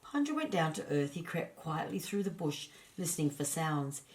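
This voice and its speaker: Female storyteller for children's narration, low register, expressive and deliberate scripted read.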